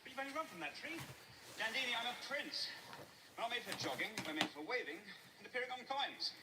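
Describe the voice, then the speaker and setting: A male actor playing a pompous, lovelorn pantomime prince with exaggerated, animated stage delivery. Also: very high voice, fast talker, very noisy. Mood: melodramatic. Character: pompous, lovelorn, melancholy pantomime prince, swapping with his valet. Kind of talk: broad theatrical pantomime dialogue.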